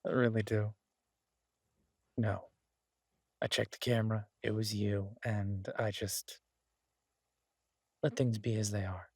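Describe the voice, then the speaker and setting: A man playing an easygoing, affectionate chef in an intimate boyfriend roleplay audio, talking in a husky, halting, teasing way to a partner. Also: lowish voice, flat delivery, no room sound, studio quality. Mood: tender, teasing. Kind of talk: intimate boyfriend roleplay audio, casual one-sided chat.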